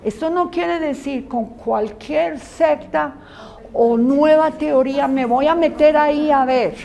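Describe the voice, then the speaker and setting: A woman's voice: sits high, slow pace, creaky, warm tone. A woman Bible teacher giving a conversational classroom lecture to students. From Colombia. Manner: earnest, conversational teaching with asides.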